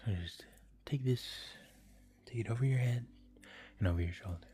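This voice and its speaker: A man hosting a livestream, whispering gently and offering soft, comforting affirmations in an ASMR style. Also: medium pitch, normal speed. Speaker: livestream host.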